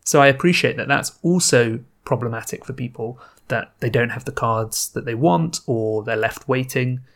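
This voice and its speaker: A man's voice: mid-range voice, moderate pace. Chatty man hosting a podcast. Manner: chatty, relaxed, thinking aloud with fillers. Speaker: podcast host. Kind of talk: casual solo podcast intro. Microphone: new home podcast microphone setup.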